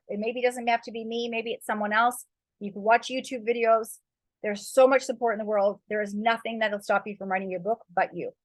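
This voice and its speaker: A woman's voice: a little high, dry recording, low-quality recording. A woman author giving casual, encouraging writing advice in a loose, rambling way, full of fillers and spiritual turns of phrase. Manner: loose, rambling, heavy with "like" and "you know".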